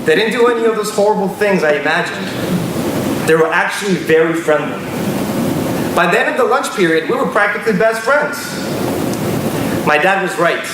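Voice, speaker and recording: A man's voice: high voice, animated. Young man giving a speech in an echoey hall. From Colombia. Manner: storytelling with humor and dramatic pauses. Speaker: contest speaker. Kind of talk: prepared speech. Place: large hall with audience. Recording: very echoey hall, loud room noise, distant mic.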